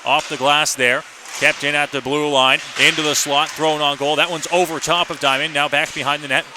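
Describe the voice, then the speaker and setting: A man's voice: fairly high pitch, flat delivery, a little fast. A man doing live ice hockey play-by-play for radio, describing the action in clipped running phrases. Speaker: play-by-play announcer. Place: ice rink, live broadcast position. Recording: noisy live arena broadcast.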